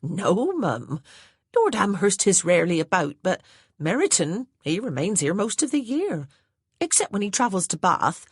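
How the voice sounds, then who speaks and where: A woman voicing an elderly, humble household servant who talks briskly in a country dialect. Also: mid-range voice, very animated, slightly rough, dry recording, studio quality, voice pushed up. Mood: plain. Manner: brisk, says "aye" and "mum" in a country dialect.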